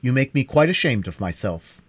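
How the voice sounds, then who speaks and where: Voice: mid-range voice, very animated, moderate pace, breathy edge, no room sound, pitched above the performer's own voice. A reader voicing a polite, earnest stuffed scarecrow in a storybook, speaking emphatically.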